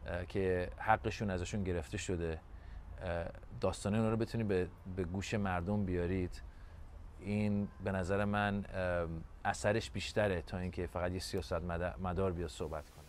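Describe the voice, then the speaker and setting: A man's voice: low-pitched, steady tone, a little hiss or hum. A man talking informally in an interview.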